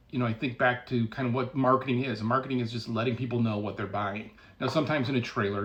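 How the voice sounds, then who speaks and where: A man's voice: mid-range voice, muffled recording. A man speaking casually as a game developer, giving opinionated commentary in a blunt, sardonic, emphatic way.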